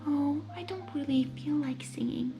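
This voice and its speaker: A timid cartoon pegasus mare, played by a woman, speaks in a high, soft voice. She is hesitant and anxious, and faint music can sit behind her words. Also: normal speed. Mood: reluctant. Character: timid, nervous female cartoon pegasus pony.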